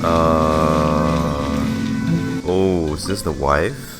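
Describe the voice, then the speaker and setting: Deep-voiced man reacting to a game. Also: steady tone, extremely slow, over loud music. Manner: musing, thinking aloud. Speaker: reaction streamer. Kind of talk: casual game reaction commentary.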